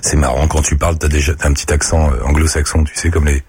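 A man hosting a casual radio interview, chatting in a quick, friendly way. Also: rumbling low, fairly even intonation, darkish tone, slightly rough, no room sound. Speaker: radio host.

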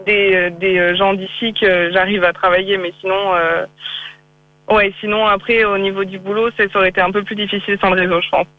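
A woman's voice: mid-range voice, level tone, fairly quick. A young woman from France with a faint English-tinged lilt, answering interview questions hesitantly, with many fillers and restarts. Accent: slight English-tinged French. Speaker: interviewee, freelance graphic designer. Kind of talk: casual interview over a call. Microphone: phone or call line. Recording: tinny phone line.

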